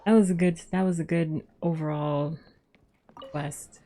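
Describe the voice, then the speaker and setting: Casting: female streamer, casual game commentary, lowish soft-toned voice, slow delivery. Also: fairly even intonation, a little hiss or hum. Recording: game music behind the voice.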